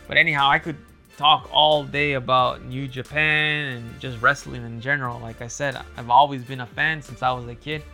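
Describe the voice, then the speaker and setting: Gravelly, bright-voiced man rambling casually. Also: fairly even intonation, moderate pace, faint music behind. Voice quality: loud booming voice. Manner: rambling, repeats himself, many fillers and restarts. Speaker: wrestling fan commentator. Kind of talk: casual monologue.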